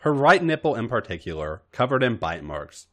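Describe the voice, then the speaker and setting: A man reading fan fiction aloud as an expressive podcast narrator. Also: mid-range voice, moderate pace. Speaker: podcast host reading a story.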